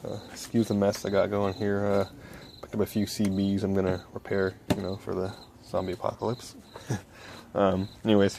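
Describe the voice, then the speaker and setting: A young man giving a casual project walkthrough in a low-key, hesitant way, thinking aloud as he goes. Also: gravelly, a little mellow, slight background noise. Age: young adult. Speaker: hobby electronics vlogger. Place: small cluttered home workbench indoors. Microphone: handheld phone camera.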